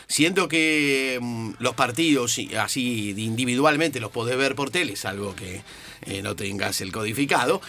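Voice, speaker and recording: A man's voice: mid-range voice, unhurried, sharp tone. A man with an Argentine accent, a football commentator, chatting as a guest in a radio interview and often adding little tag questions. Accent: Argentine Spanish. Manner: talkative, repeats words and adds tag questions. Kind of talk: relaxed radio interview chat.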